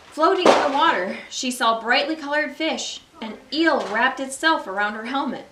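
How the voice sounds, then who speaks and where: A woman reading a children's storybook aloud as a library story time host, with expressive, deliberate phrasing for young listeners. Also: fairly high pitch, a little hiss or hum. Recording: roomy echo, distant microphone.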